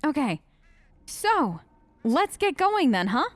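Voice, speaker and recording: This is a woman with a high-pitched voice, recorded very dry. Her intonation is lively as she improvises role-play lines for a game. She sounds informal and friendly, often feeling her way through a sentence. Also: slow, no room sound. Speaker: gamer role-playing her character in a let's play.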